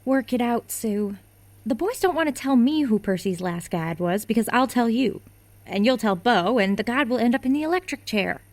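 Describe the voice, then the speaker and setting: A woman's voice: on the high side, lively intonation, moderate pace, no echo. A woman playing the sleuth's blunt, plainspoken friend in a radio drama. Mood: earnest.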